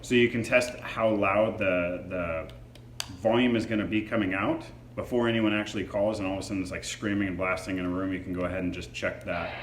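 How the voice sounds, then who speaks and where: A man's voice: low-pitched, steady tone, brisk. A man reviewing a product in a casual, hands-on demo, explaining features informally. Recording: echoey room with faint background noise.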